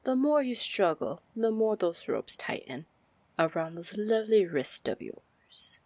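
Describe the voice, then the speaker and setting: A woman playing a seductive, commanding female pirate lord in an ASMR fantasy roleplay, with a teasing manner and breathy near-whispers. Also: medium pitch, big pitch swings, measured pace, darkish tone, no room sound. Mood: teasing, menacing. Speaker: roleplay audio performer.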